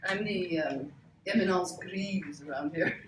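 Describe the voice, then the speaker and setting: A woman playing a loud, bossy older TV producer, self-important and brisk, at a live table read. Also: on the low side, lightly acted. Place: cramped bookstore, live reading without microphone. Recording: echoey live room, distant mic, faint noise.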